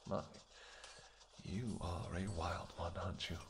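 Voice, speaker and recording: A man voicing a flirtatious royal vampire king who teases affectionately, with a gravelly voice. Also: steady tone, slow, background noise. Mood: flirty teasing. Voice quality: gravelly, low. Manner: teasing, affectionate, soft murmurs. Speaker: audio roleplay performer. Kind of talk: audio roleplay acting.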